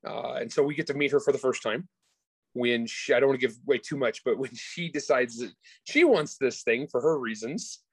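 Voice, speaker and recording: A man's voice: medium pitch, a little fast, husky, no room sound. A man who is an author being interviewed, chatting informally and with enthusiasm about the work. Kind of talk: casual interview chat about their own books.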